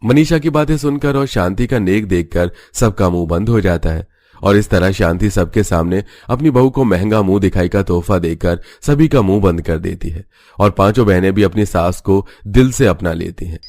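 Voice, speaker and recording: A man's voice: low voice, moderate pace, darkish tone, dry recording, studio quality. A man reading scripted storybook narration for a cartoon.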